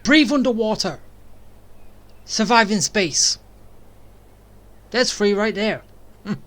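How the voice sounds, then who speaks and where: A game streamer giving casual playthrough commentary in muttered, deadpan asides. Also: mid-range voice, slow pace. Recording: game music behind the voice.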